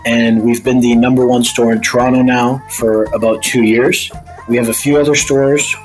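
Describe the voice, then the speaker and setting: A man of medium pitch speaks casually as a guest being interviewed about his own experience. Faint music sits behind his voice. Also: flat delivery, moderate pace, rough recording. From Egypt, by birth. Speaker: interview guest, retail store owner.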